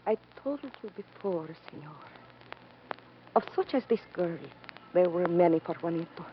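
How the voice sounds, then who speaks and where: Actress as proud Spanish widow, muffled vintage radio. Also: female voice, fairly low pitch, expressive, a little hiss or hum, natural voice. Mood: proud, mournful. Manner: formal, dramatic, deliberate phrasing. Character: grieving, proud, devoted Spanish matador's widow. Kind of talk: 1950s radio drama acting, melodramatic. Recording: muffled old radio recording with music.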